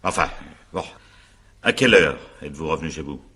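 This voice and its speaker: A sardonic examining magistrate in a radio mystery. His voice is very low yet swoops widely, with a sharp, forceful edge. He probes with irony and drops into muttered asides. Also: expressive, a little fast, breathy edge, heavily acted. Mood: pressing, dry. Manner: probing, ironic, digressive, muttering asides. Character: shrewd, sardonic examining magistrate questioning a suspect. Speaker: actor in a radio mystery drama. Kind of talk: French radio drama acting, naturalistic interrogation.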